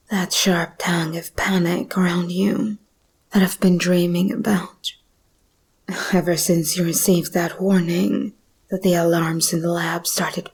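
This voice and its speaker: Breathy, slow-whispering predatory monster girl, played by a woman. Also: lowish voice, a little mellow, full character voice, poor audio. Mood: predatory, savoring. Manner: slow, teasing, predatory purr. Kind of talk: ASMR roleplay, intimate monologue.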